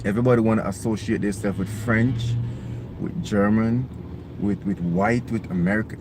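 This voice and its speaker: Man, low voice, earnest, emphatic, asks "right?" often, loud street traffic behind. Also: fairly even intonation, moderate pace. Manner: earnest and emphatic, with constant "right?" and "okay?" tags. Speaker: vlogger. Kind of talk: live-streamed monologue. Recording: loud outdoor traffic noise.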